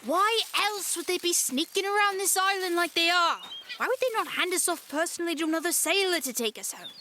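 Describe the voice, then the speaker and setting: Woman playing an anxious, high-pitched, exasperated child. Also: a little fast, bright, forceful tone, a little hiss or hum. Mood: indignant. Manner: emphatic, exasperated. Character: anxious, cautious, indignant child sailing runaway. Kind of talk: audio drama acting.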